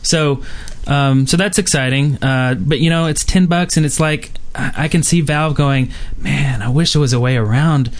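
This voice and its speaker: A man's voice: on the high side, moderate pace, few pauses. Rambling man hosting a gaming podcast. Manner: rambling, many fillers and restarts. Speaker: podcast host. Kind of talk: casual podcast chat reading gaming news.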